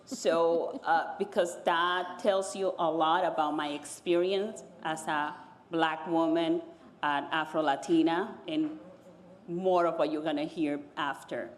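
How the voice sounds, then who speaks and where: Panelist, woman from the Dominican Republic, Spanish first language, lowish voice, slow and deliberate. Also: steady tone, slight background noise. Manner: measured, pausing, searching for words. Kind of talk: personal story on a panel.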